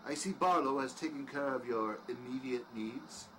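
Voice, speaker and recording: A man's voice: mid-range voice, normal speed, a bit bright, lightly acted, rough recording. A man playing a courteous, formal, faintly sinister doctor welcoming guests to his mansion in an audio drama. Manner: formal, courteous, old-fashioned phrasing. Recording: faint background noise.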